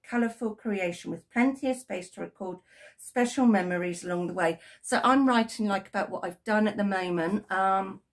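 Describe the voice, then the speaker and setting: A British woman with a croaky, cold-roughened voice chats casually into a desk webcam from her yarn-filled craft room. She rambles a little, filling gaps with ums and correcting herself as she goes. Middle-aged and homely in tone. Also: medium pitch. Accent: British English. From United Kingdom. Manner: chatty and rambling, lots of ums and self-corrections. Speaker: crochet vlogger. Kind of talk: casual chat to camera. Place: home craft room with yarn shelves, indoors. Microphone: webcam at desk, framed from the chest up.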